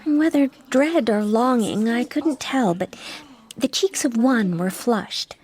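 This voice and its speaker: An actress playing a poised, sharp-witted young socialite who works secretly as a detective, composed and arch in a radio drama. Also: female voice, on the high side, lively intonation, takes it slowly. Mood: knowing, deliberate. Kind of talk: audio drama acting.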